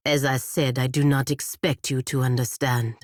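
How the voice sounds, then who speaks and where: Low-pitched, proud, stern warrior woman. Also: flat delivery, no room sound, clean studio sound. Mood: solemn, confiding. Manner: formal, measured, clipped declarative phrasing. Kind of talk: scripted fantasy video game dialogue.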